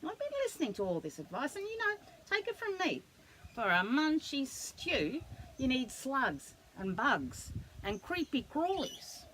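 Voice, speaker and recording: A woman's voice: high voice, wildly swooping pitch, measured pace, a bit bright, a little hiss or hum, pitched above the performer's own voice, exaggerated voice. A woman voicing a bossy, bristling male echidna in a storybook, with an insistent manner.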